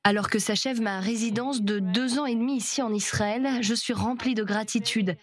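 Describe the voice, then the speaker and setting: A woman doctor from Rwanda, heard speaking calmly and sincerely in an interview voice-over. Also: fairly high pitch, moderate pace.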